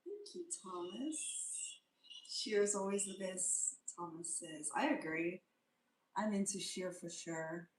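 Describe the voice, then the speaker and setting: A woman hosts a casual livestream and greets her viewers one by one. She talks at an easy, slow pace and slips into little sing-song hums between remarks. The room around her sounds noticeably echoey. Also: on the low side, a little hiss or hum. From South Africa. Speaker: livestream host.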